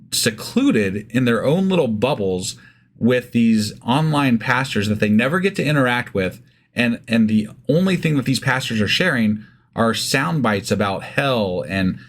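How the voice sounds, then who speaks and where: Casual, opinionated man co-hosting a podcast. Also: mid-range voice. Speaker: podcast co-host. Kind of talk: casual conversational commentary.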